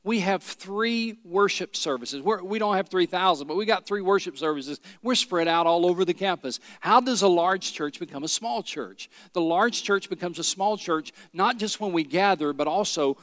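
A middle-aged pastor from Tennessee, preaching a sermon with folksy stories and rhetorical questions. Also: male voice, high-pitched, normal speed, few pauses, fairly bright tone, dry recording, long reverb tail. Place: church sanctuary.

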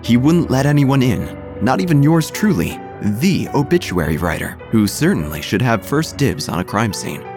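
A man's voice: medium pitch, big pitch swings, moderate pace, few pauses, music in the background. A man narrating scripted comic horror in a wry, theatrical manner.

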